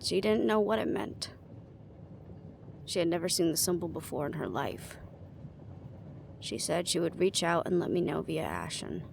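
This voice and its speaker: A woman's voice: lowish voice, animated, normal speed, gravelly, long pauses, a little hiss or hum. A woman playing a guarded, weary young team leader in a fantasy audio roleplay, with a dry, casual delivery. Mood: weary, confessional.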